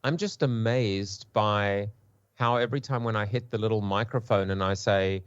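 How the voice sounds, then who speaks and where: Low-voiced South African man, halting. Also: clean tone, no room sound, dull audio. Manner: hesitant, many restarts and repeated words. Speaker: panel guest. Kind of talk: casual podcast discussion.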